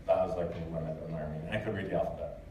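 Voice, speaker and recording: A man's voice: low voice, steady tone, rapid-fire, crowd noise. A man from the United States answering audience questions after a lecture, loose and chatty, with lots of fillers and restarts. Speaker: lecturer and translator. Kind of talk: casual Q&A after a talk. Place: lecture hall. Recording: echoey hall, distant mic, noisy.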